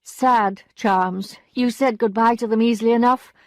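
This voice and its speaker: A woman's voice: a little high, steady tone, moderate pace, no echo, studio quality, voice pushed up, close to an everyday voice. A woman reading an audiobook, voicing a proud young wife in distress, bitter and pleading. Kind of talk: audiobook character voice.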